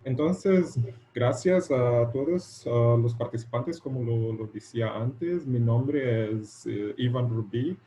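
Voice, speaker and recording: A man with a soft, mellow voice and a Portuguese-speaker's accent presents informally over a video call. He speaks haltingly, repeating words while he looks for the right one. There is faint noise behind him. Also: medium pitch, rough recording. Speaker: guest lecturer, doctoral candidate.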